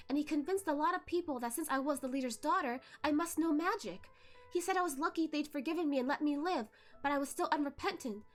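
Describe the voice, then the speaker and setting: A woman voicing a sorrowful young female ghost, telling her tale in a halting, plaintive way for a story reading. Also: high-pitched, voice pushed up, close to an everyday voice.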